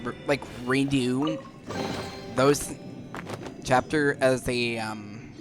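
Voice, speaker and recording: Man, mid-pitched voice, casual gameplay commentary, halting informal explaining, faint music behind. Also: fairly even intonation. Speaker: gaming video host. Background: faint game music.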